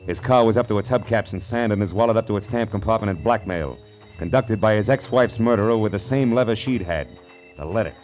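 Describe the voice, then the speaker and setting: Man playing a tough american private eye, low voice, wry and clipped, old radio crime show. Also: fairly quick, a little mellow, faint music behind, lightly acted. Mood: wry, tense narration. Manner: wry, hard-boiled, clipped. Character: tough, sardonic male private detective. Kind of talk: old-time radio detective drama, narration and dialogue.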